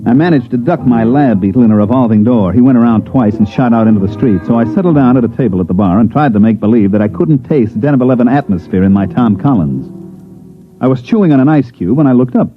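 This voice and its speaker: Hard-boiled male investigator, played by an actor, American, wisecracking, very lively pitch swings, 1950s radio drama, tinny band-limited sound. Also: fast talker, natural voice. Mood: wry narrating. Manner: wisecracking, sardonic delivery. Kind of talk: 1950s radio drama acting with first-person narration. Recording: thin, band-limited old radio recording with music.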